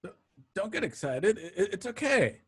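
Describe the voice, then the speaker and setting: A man playing an eager, boastful gangster in a crime radio play, excitable and prone to stammering. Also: high voice, normal speed, husky, heavily acted. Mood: nervous placating. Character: eager, boastful gangster placating his angry boss.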